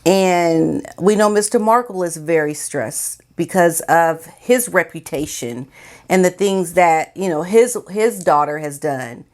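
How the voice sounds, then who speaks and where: A woman's voice: on the low side, measured pace, a little mellow. An American woman giving informal opinion commentary as a vlogger, speaking in a sassy, emphatic, colloquial way.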